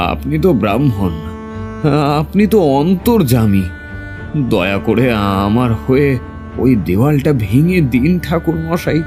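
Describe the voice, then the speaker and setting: A man's voice: medium pitch, natural voice. A man playing a frightened, guilt-ridden heir of a cursed family in a horror audio drama, pleading and hesitant.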